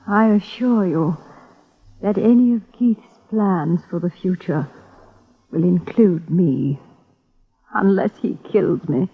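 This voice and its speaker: A fever-ridden wife who talks slowly and coldly, her words clipped and bitter. The voice is breathy, and it comes through a thin, phone-like old radio broadcast. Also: lowish voice, heavily acted. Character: bitter, ill wife of a planter. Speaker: planter's sick wife. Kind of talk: 1950s radio drama acting.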